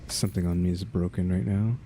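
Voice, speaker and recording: A man's voice: low voice, steady tone, fast talker, dark tone, a little hiss or hum. A man giving casual, off-the-cuff gameplay commentary, musing aloud in a wry, rambling way. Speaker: gaming streamer. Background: game music.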